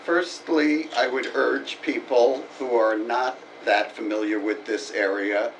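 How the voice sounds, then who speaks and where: A committee member at a public meeting giving measured, deliberate opinions. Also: male voice, mid-range voice, fairly even intonation. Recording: distant mic with background noise.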